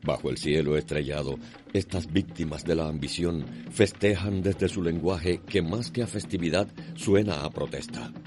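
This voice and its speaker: A man's voice: low-pitched, moderate pace, music in the background. A man with a Cuban accent narrating a radio drama in a solemn, lyrical, dramatic manner.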